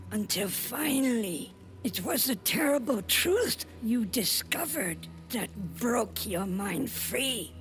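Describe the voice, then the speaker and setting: Mystical spirit guide lady, grave n commanding, highish voice, super slow game cutscene delivery. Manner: grave, portentous, halting, emphatic delivery. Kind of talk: dramatic animated game cinematic acting.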